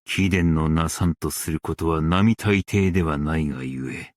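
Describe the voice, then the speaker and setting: A man playing a principled, stern yet merciful scholar-strategist in a game, speaking in a formal, archaic and measured way. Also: extremely low pitch, no room sound, studio quality. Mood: quiet, reflective. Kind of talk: Japanese game character voice lines.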